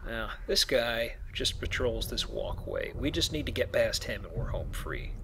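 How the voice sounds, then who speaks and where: A man's voice: mid-range voice, sing-song, unhurried, bright, forceful tone, a little hiss or hum. A man giving casual, rambling, explanatory commentary while playing a video game. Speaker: let's play host, playing the game.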